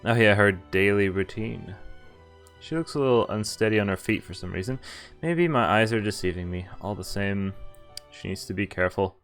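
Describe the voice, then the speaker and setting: A young man with a fairly low voice streams a visual novel. He reads the story text aloud, then drifts into loose, joking asides with some hesitation. Game music plays faintly behind him. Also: moderate pace, a bit bright. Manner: casual, jokey, hesitant asides. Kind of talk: casual live stream commentary mixed with read-aloud narration.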